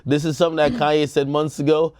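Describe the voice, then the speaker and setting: A man's voice: a little high, little pitch movement, fast, a little mellow, no room sound, clean studio sound. A man co-hosting a casual panel talk, giving emphatic opinions with plenty of slang and swearing. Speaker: show co-host giving opinions.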